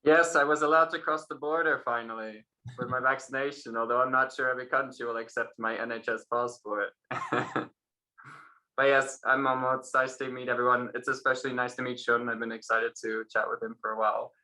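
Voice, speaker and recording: A man's voice: medium pitch, steady tone, brisk, pushed and bright, poor audio. A man with an American accent, a guest on a casual video call, speaking fluently and cheerfully. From United States.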